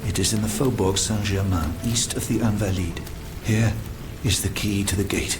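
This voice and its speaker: A man playing a troubled, earnest French gentleman scientist in a video game, formal and theatrical. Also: lowish voice, normal speed, background noise, lightly acted. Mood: grave, earnest. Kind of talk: dramatic video game cutscene acting.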